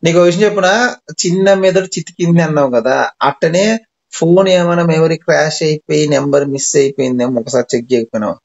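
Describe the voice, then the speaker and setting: A man's voice: a little high, a little fast, creaky. A man chatting casually on the phone in a playful, teasing, evasive way. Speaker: prank caller. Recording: muffled lo-fi phone call recording.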